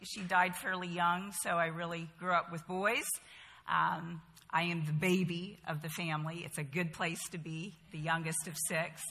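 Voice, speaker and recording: A woman in her fifties speaks with a light Southern accent. She leads a Bible study in a bright, clear tone, keeping things chatty and adding humorous asides. Her voice echoes through a large hall. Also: on the low side. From Bahamas, later North Carolina. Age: 50s. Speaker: women's Bible study teacher. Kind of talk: casual talk to a group, then spoken prayer and storytelling.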